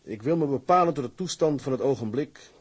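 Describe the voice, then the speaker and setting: An actor playing a grim, grieving sea captain in a Dutch drama, speaking in a solemn, deliberate way. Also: male voice, medium pitch, expressive, husky. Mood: cold, firm. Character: grim, grief-stricken middle-aged male tugboat captain.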